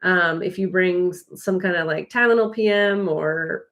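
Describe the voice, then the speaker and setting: A woman's voice: lowish voice, normal speed, a bit bright, lo-fi sound. An American woman co-hosting a live travel stream, chatting casually and explaining things in a rambling, chatty way. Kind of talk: casual chat, giving travel tips.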